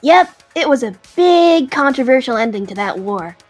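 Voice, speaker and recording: A woman's voice: high-pitched, expressive, moderate pace, faint music behind. A woman playing a cheerful, unbothered, nerdy dragon in an audio drama, chatty and matter-of-fact. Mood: breezy.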